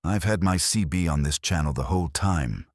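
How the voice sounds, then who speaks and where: Gruff male trucker, done by a narrator, skeptical, matter-of-fact, extremely low voice, horror tale. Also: lively intonation, normal speed, no room sound, studio quality.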